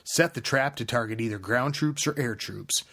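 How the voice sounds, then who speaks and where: A man's voice: mid-range voice, normal speed, sharp tone. A man co-hosting a casual gaming podcast, rambling and restarting his sentences as he chats. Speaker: podcast co-host. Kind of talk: casual chat.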